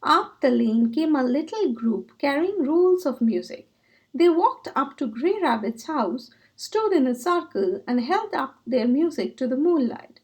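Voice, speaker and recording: Slow, high-voiced woman reading a storybook. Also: very animated. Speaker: children's story reader. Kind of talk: expressive read-aloud storybook narration.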